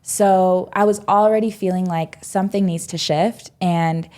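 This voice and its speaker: Girl in her 20s, lowish voice, thinking out loud, lots of um and like. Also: fairly even intonation, unhurried. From United States. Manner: reflective storytelling, many "um"s and "like"s. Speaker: podcast guest. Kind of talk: casual podcast interview.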